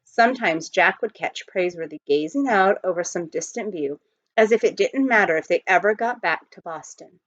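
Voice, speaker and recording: Female storybook reader, animated intonation, expressive narration, muffled dry recording. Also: on the low side, moderate pace, dry recording. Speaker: audiobook reader, teacher. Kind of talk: expressive storybook read-aloud. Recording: dull lo-fi close recording.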